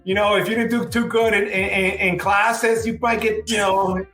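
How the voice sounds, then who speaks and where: A man's voice: high-pitched, normal speed, faint music behind. Puerto Rican man telling stories, echoey room. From Puerto Rico. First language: Spanish. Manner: relaxed, many fillers like "you know". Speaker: guest. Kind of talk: casual interview storytelling.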